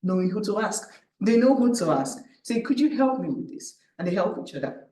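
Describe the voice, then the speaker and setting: A woman tells her story to an audience with animated energy, acting out what she thought and checking in with "right?". She sits well back from the microphone. A large, echoing room carries her voice. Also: medium pitch, brisk, slight background noise. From Nigeria. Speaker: panel speaker. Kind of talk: personal talk at a public event. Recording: echoey room, distant mic.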